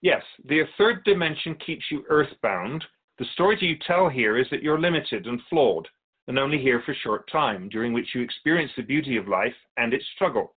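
A man playing a calm otherworldly being in a radio drama interview, explaining humanity to humans in a measured, knowing, didactic way. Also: mid-range voice, slightly rough, phone-quality sound. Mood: calm, explanatory.

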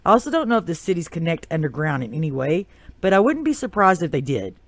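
A host with a Texas accent, reading a prepared narration in a steady storyteller's delivery with occasional asides, recorded on a new microphone. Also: mid-range voice, quick pace, no room sound. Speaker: podcast host. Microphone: new desktop microphone.

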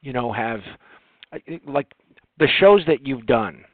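A man's voice: mid-range voice, expressive, slow pace, no room sound. Male interviewer on narrow phone-like audio. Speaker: interview host. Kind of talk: casual conversational interviewing. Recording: tinny phone-like audio, very dry.

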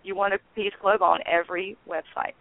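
A woman in her sixties, a guest on a radio interview, talking casually and haltingly with many ums and restarts. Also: mid-range voice, fairly even intonation, gravelly. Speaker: guest, founder of a peace network. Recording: tinny phone line.

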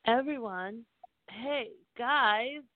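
Woman acting a slow, gentle peacemaker, tinny sound. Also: fairly high pitch, dry recording. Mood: friendly. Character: gentle, peace-loving female friend of the group. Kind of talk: comic radio play acting. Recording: tinny, narrow phone-like sound.

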